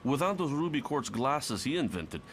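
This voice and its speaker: A man's voice: mid-range voice, big pitch swings, moderate pace, a little hiss or hum. A man voicing a reluctant, stubborn young superhero in a motion comic, blunt and dismissive in manner. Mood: argumentative. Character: reluctant nineteen-year-old Russian male superhero, stubborn and weary. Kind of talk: dramatic motion comic voice acting.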